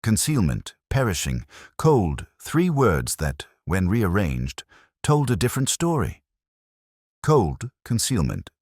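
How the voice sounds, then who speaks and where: A man playing a brooding, literary police inspector, narrating his case in measured, reflective first person. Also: lowish voice, huge pitch swings, darkish tone, dry recording, studio quality, full character voice. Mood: pensive, measured.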